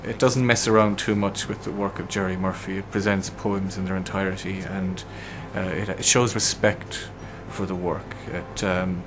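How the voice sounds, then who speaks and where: A man's voice: sits low, little pitch movement, normal speed, hardly any pauses, background noise. A man giving an earnest documentary interview, often restarting his sentences.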